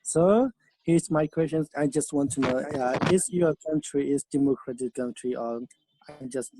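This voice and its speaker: A participant in an online chat, speaking haltingly and searching for words. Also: mid-range voice, noisy background.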